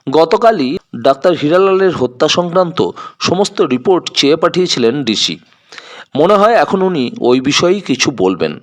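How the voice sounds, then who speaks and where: A man narrating a scripted detective audio story in a dramatic storytelling style. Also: a little high.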